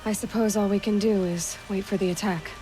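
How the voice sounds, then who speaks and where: A woman's voice: medium pitch, fairly even intonation, fairly quick, dark tone, soft background music, lightly acted. A woman playing a determined, plain-spoken young warrior in a video game, talking directly. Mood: calm, resolute. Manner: direct, resolute, unadorned. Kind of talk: video game cutscene acting.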